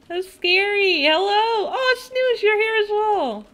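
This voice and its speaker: A young woman streaming a game, chatting casually with her viewers in a nasal voice with vocal fry, giggling often and breaking into excited shrieks. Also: extremely high pitch. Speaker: VTuber streamer. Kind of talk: casual gaming stream chat, reading chat aloud.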